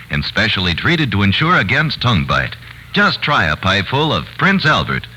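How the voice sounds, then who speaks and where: American man for 1940s radio commercial: hard bright delivery, lively swoops, slightly noisy vintage sound. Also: lowish voice. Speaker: commercial announcer. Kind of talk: 1940s radio advertising, scripted.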